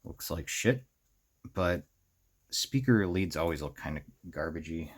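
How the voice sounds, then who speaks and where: Deep voiced guy doing diy vlog commentary, real slow, kinda musing to himself while he works. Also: expressive. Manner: musing, thinking out loud in fragments. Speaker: host of a DIY circuit bending video series. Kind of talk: unscripted hands-on tutorial commentary while working.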